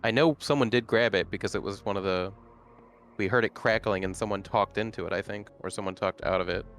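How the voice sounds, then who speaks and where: A man's voice: lowish voice, fairly quick, a bit bright, slight background noise. A man chatting casually as a player in a tabletop roleplaying game. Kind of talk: casual chat. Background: music.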